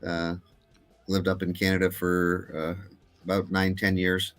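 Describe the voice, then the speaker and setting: Deep-voiced hesitant man, middle-aged. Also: monotone, unhurried, fairly bright tone. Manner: hesitant, with many uh fillers and restarts. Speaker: guest filmmaker. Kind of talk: casual interview chat.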